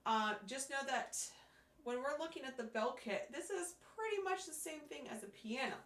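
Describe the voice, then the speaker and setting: A woman teaching a beginner percussion lesson, patiently explaining step by step while hoarse from losing her voice. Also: high voice, animated, normal speed, fairly bright tone. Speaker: music teacher. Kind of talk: instructional demonstration. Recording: echoey room.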